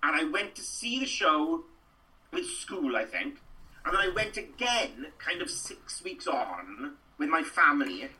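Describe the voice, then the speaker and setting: Welsh man, middle-aged, actor guest, slightly high voice, restarts a lot. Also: unhurried, recorded from a distance, rough recording. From Wales. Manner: animated, rambling storyteller with lots of restarts. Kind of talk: chatty interview answers.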